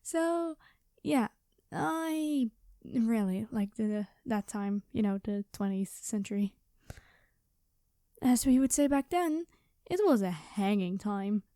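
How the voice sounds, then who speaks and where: A woman's voice: medium pitch, lively intonation, takes it slowly, warm tone, no echo, studio quality. A woman playing a quirky, chatty time traveler from the future, rambling and flustered, in an ASMR roleplay. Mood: playful, rambling. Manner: rambling, flustered, hesitant, cheery. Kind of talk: ASMR storytelling roleplay, one-sided conversation.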